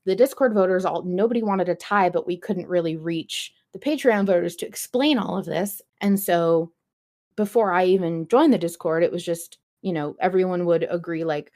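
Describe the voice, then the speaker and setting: Lower-pitched woman chatting on a podcast. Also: normal speed, darkish tone. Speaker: podcast host. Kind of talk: casual podcast chat.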